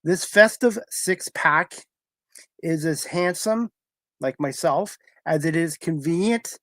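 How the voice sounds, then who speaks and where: A man's voice: a little high, slow pace, fairly bright tone, no room sound. A man hosting a talk show, reading sponsor announcements conversationally with occasional stumbles. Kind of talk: live sponsor read, conversational.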